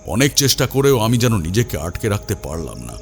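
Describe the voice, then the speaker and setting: A man's voice: on the low side, big pitch swings, brisk, creaky, faint music behind. A man narrating a scripted first-person horror story in a dramatic, suspenseful manner.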